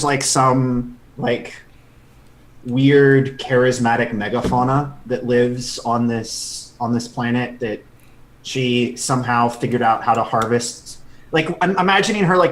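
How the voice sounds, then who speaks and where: A man playing a tabletop role-playing game, describing his character in halting, thinking-aloud speech. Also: medium pitch, a bit bright, a little hiss or hum, poor audio. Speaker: player. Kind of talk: casual tabletop role-play chat.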